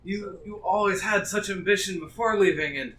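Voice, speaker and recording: A man's voice: high-pitched, moderate pace, a bit bright. A man playing a drunk, clingy, rambling older townsman who pleads and repeats himself. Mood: eager, nostalgic. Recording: roomy distant mic with noise.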